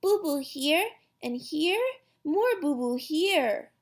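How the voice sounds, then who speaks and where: Lady doing a tiny excitable toddler boy, super high, babyish, swoopy, kids audiobook. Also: slow pace, heavily acted. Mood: pitiful. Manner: babyish short repeated phrases, whining. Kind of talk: children's audiobook character voice.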